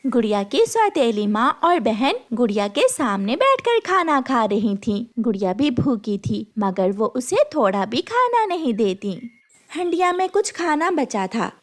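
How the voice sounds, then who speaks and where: A woman narrating a scripted children's cartoon story as the storyteller. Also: a little high.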